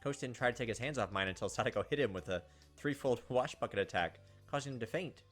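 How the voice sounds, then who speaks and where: A woman's voice: extremely low pitch, fast talker. A woman reading a visual novel aloud as gameplay host, with wry, sardonic casual commentary. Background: game music.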